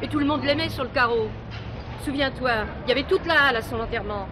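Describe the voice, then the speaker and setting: A woman's voice: sits high, quick pace, close to an everyday voice. A woman playing an earthy, motherly, middle-aged market worker with a blunt, slangy manner, in a French radio drama. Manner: familiar, slangy, maternal. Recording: noisy market hall with crowd and vehicles.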